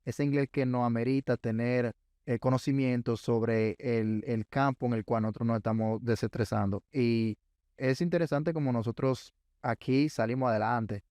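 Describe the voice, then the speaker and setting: A man's voice: mid-range voice, fairly even intonation, moderate pace, a little mellow, dry recording, studio quality. A man hosting a podcast, agreeing with his guest and reflecting at length on the topic. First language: Spanish. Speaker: podcast host. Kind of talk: casual interview chat.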